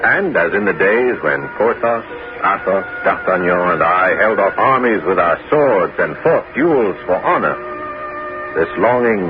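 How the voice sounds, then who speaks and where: An actor in a 1970s radio drama playing an adventurous former musketeer turned bishop, courteous and gently probing, in a measured theatrical manner. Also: male voice, sits low. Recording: dull 1970s radio recording with music.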